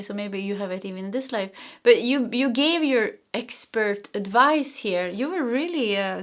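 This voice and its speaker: A woman's voice: a little high, fairly bright tone. A woman from Sweden with a Swedish accent, a non-native English speaker, giving a casual pick-a-card tarot reading and often stopping to search for words. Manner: chatty and searching, with frequent fillers and self-corrections. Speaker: tarot reader.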